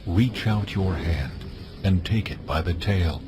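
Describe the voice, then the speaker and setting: An actor playing God as a solemn, deliberate, authoritative divine voice in a dramatized scripture reading. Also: male voice, deep voice, lively intonation, background noise. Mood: commanding.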